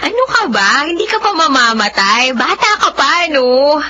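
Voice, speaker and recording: A woman's voice: sits high, sing-song, moderate pace, bright, forceful tone, full character voice. A woman acting a tender, fearful young sweetheart in a romantic radio drama, hesitant and emotional. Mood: affectionate. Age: young adult. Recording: dull lo-fi audio with music.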